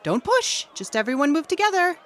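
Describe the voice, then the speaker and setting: A woman's voice: sits high, sing-song, a little fast, a faint crowd, a little hiss or hum. An actress playing a concerned, take-charge female teacher in an audio drama, speaking urgently. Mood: urgent, worried. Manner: urgent, directing. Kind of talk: dramatic audio fiction acting.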